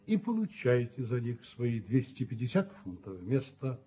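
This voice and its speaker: An actor playing a proud, wealthy landlord in a radio play, speaking formally, with measured weight. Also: male voice, mid-range voice, big pitch swings, a little hiss or hum, close to an everyday voice. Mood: cold, formal. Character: stern, dignified wealthy landlord, proud father. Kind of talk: Soviet radio play acting. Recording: muffled 1970s radio drama recording.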